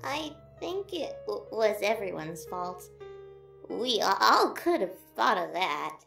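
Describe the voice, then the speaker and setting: A woman's voice: mid-range voice, very animated, takes it slowly, creaky, a bit bright, faint music behind, heavily acted. A woman voicing a nervous, apologetic lizard scientist in a fan audio drama, flustered and stuttering heavily. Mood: timid.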